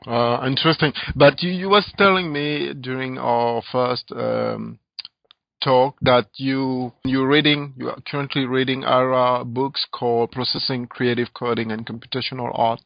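A gravelly-voiced man from Cameroon hosts a casual interview, halting through restarts and fillers. His voice sits in a middle register. The recording is dull and dry, with no room around it. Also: mid-range voice, fairly even intonation, dry recording. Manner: hesitant, many restarts and fillers. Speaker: podcast host. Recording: muffled lo-fi, dry.

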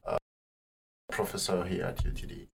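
A man's voice: low voice, completely flat delivery, normal speed, creaky. A man hosting a podcast, asking his guest questions in a conversational way. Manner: conversational, prompting with many acknowledgements. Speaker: podcast host. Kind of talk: interview questions. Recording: distant mic with faint room noise.

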